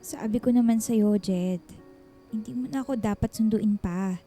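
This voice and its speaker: A woman's voice: on the high side, moderate pace, mellow, faint music behind, close to an everyday voice. An actress playing a grateful, hesitant single mother in a Filipino radio drama, pleading and emotional. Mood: gentle, reluctant.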